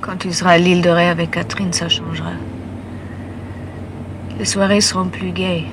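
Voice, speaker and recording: A woman's voice: on the low side, little pitch movement, normal speed, noisy background, lightly acted. A woman playing a curt, reserved lover. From Paris. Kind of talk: film dialogue.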